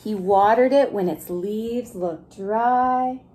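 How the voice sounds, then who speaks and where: A woman reading a picture book aloud to young children in an expressive, gentle way, pausing to ask them questions. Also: medium pitch. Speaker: preschool story time reader.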